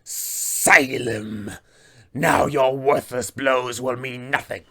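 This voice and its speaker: A man voicing a dying, menacing serpent-folk warlord for a tabletop fantasy game, speaking with grandiose, drawn-out menace. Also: medium pitch, steady tone, creaky, a bit bright, slightly rough, exaggerated voice. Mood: menacing, scornful.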